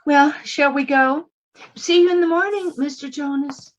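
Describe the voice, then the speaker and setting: Woman acting a gushing young frontier shopgirl, high-pitched. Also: moderate pace, lightly acted, rough recording. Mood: coy. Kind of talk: radio western acting.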